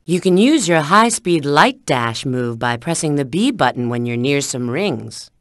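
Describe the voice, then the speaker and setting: Casting: confident female bat treasure hunter, video game guide; coy, teasing, very animated intonation, dry studio sound. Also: deep voice, a little slow, no echo. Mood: coy, instructive. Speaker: video game character giving hints. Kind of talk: video game voice acting, tutorial prompts.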